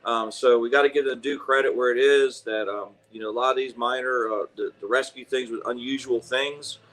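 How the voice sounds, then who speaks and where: A man co-hosting a firefighter webcast, talking casually and haltingly with many fillers. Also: medium pitch, steady tone, a bit bright. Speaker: firefighter co-host.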